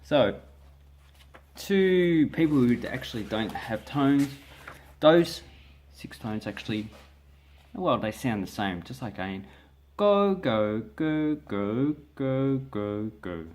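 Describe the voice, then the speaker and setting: Slow, relaxed Australian man teaching. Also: medium pitch. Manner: relaxed, slow and hesitant, with many fillers and restarts; explains by showing pages from a book. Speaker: language vlogger. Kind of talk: casual spoken lesson to camera.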